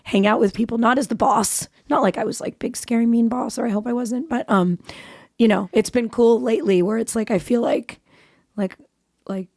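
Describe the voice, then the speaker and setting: A woman's voice: fairly high pitch, steady tone, moderate pace, darkish tone, dry recording. Woman host, scratchy from a cold, rambly casual chat, dry close sound. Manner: chatty, rambling, with many fillers and restarts. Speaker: podcast host.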